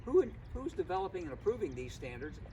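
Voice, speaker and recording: An older man speaking out as a concerned citizen, emphatic and deliberate, in an informal impassioned style. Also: sits high, wildly swooping pitch, vocal fry, highs cut off. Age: older, 60s or above. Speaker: concerned local citizen at a protest. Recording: outdoor, distant mic, loud traffic noise. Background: vehicles and outdoor ambience.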